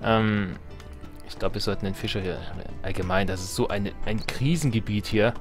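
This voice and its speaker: Low-voiced man commentating a strategy game. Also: fairly even intonation, normal speed. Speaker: Let's Play host. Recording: game music behind the voice. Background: video game music.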